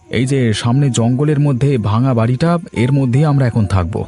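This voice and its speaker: A man's voice: medium pitch, brisk, warm tone, soft background music, close to an everyday voice. A man voicing a proud, hopeful poor husband in a cartoon, earnest and resolute. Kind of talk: cartoon dialogue acting.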